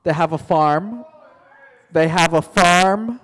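A man's voice: a little high, slow, fairly bright tone, long reverb tail, rough recording. A male teacher telling a playful made-up story to his class, chatty and joking, calling on students by name.

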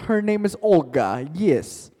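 A man's voice: high voice, big pitch swings, takes it slowly, mellow, big-room sound. A male teacher telling a playful made-up story to his class, chatty and joking, calling on students by name.

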